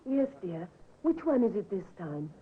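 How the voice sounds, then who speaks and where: A woman's voice: mid-range voice, very animated, moderate pace, husky. An actress playing an emotional, devoted-seeming young wife in her twenties in a 1950s radio drama, earnest and theatrical. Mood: soothing. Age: 20s. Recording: tinny, hissy old radio recording.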